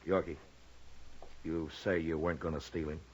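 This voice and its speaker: An actor playing a calm, fatherly American frontier marshal in 1950s radio western style, speaking with measured, gentle authority. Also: very deep voice. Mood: gentle, reassuring. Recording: muffled, hissy 1950s radio recording.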